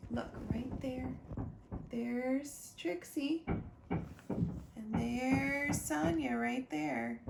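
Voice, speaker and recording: A woman reads a picture book to children with very lively, swooping intonation and a slow pace. Her delivery is expressive and full of asides to her young listeners. The room has a noticeable echo. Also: a little high, vocal fry. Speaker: librarian or teacher reading a picture book aloud. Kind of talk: animated children's storybook read-aloud with asides. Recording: roomy echo, faint background noise.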